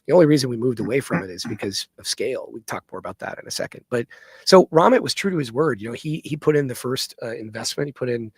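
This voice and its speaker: A man's voice: mid-range voice, expressive, fast, breathy edge, no room sound. A man telling his business story conversationally as an interview guest, often checking in with the listener. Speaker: entrepreneur guest.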